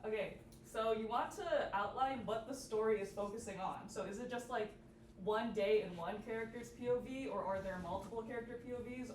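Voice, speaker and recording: A young woman giving an informal workshop presentation in a casual manner, sprinkling "like" through her sentences. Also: mid-range voice. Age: young adult. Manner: casual, peppered with "like". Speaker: student club workshop presenter. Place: indoor workshop room with audience. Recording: echoey room, distant mic, noisy background.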